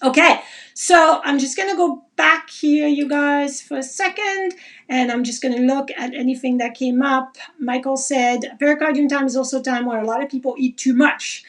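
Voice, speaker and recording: A woman's voice: sits high, normal speed. A woman teaching Chinese medicine in a live online class, talking casually and animatedly and reading viewer comments aloud. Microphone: livestream.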